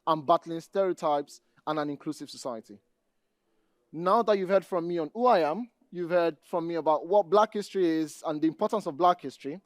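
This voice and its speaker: Man, Nigerian accent, slightly high voice, conference speaker, deliberate delivery, dry sound. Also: darkish tone, no room sound. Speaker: conference speaker, database administrator. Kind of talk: conference presentation to an audience.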